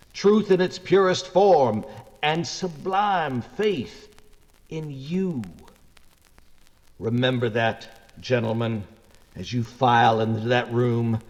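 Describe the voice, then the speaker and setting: A man's voice: mid-range voice, natural voice. A man playing an earnest, courtly male defense lawyer in a theatrical 1940s radio drama style. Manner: oratorical, dramatic pauses. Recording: long hall reverb, music behind.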